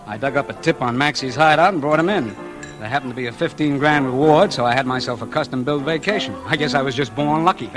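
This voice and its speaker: An actor playing a cocky, tough private investigator in 1940s radio crime drama style, breezy, slangy and wisecracking. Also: male voice, medium pitch, quick pace, over music, lightly acted. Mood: breezy, confident.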